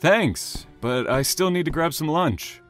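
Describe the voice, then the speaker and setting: A man's voice: on the high side, expressive, unhurried, soft background music. A man playing a sinister serial killer in an audio drama, with a patronizing, falsely friendly manner. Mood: casual. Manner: soothing, patronizing menace, casual with friends.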